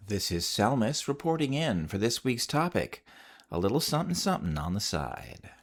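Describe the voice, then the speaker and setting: Very low-pitched man with lively intonation. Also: normal speed. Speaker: podcast contributor sending a recorded report. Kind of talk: scripted first-person report on gaming.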